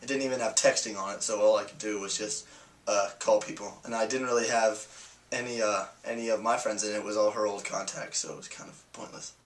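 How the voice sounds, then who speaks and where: An eighteen-year-old man, a college freshman, answering interview questions in a relaxed, hesitant way. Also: on the low side, fairly quick. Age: teens.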